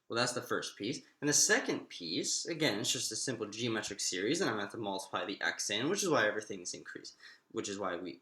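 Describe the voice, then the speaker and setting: A man giving an informal, step-by-step maths lesson, thinking aloud in a halting way and often restating himself. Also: lowish voice, bright, forceful tone. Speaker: maths lecturer. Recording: echoey room.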